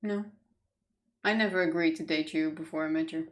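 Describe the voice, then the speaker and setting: A woman's voice: low-pitched, steady tone, brisk. A woman chatting casually as a let's play streamer. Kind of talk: casual game stream chat.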